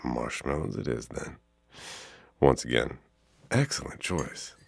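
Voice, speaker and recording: Man acting as a male art professor, extremely low pitch, heavy vocal fry, charming, playful, flirty, easygoing, intimate ASMR roleplay. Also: expressive, heavily acted. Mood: warm, flirty. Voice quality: very deep, gravelly.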